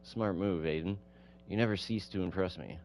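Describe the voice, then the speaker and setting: A man voicing an earnest, curious visual novel hero who coaxes gently and muses to himself. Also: low-pitched, moderate pace, a little hiss or hum. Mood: curious, musing. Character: earnest, curious man courting an android, inwardly calculating.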